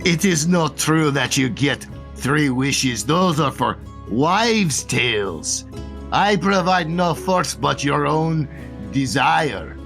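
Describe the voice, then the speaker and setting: A man's voice: fairly high pitch, lively intonation, slow pace, creaky, a bit bright, faint music behind, voice pushed up, exaggerated voice. A man voicing a scheming male genie of the lamp in a grand, commanding stage manner.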